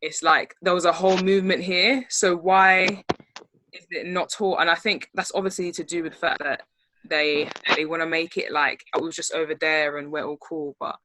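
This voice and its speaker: Bright-voiced young London woman. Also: lowish voice, level tone, normal speed, poor audio. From born in Spain, raised in London. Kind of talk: casual group discussion. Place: video call.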